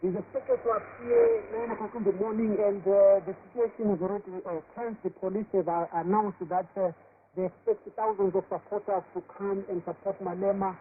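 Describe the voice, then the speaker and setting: High-voiced correspondent over crackly shortwave. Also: male voice, expressive. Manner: halting, many fillers and restarts. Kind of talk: live phone interview report. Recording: tinny shortwave radio with hiss.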